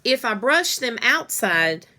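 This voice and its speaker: Storybook mother, played by a woman: practical, kindly, gently scolding, wry, slow-paced. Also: fairly high pitch, big pitch swings, full character voice. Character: practical, kindly mother of two, a dog rescuer.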